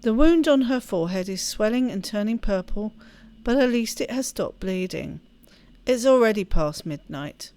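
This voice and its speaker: A woman reading a story aloud as expressive narration for a game playthrough. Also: medium pitch, normal speed. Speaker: let's play host reading game text aloud.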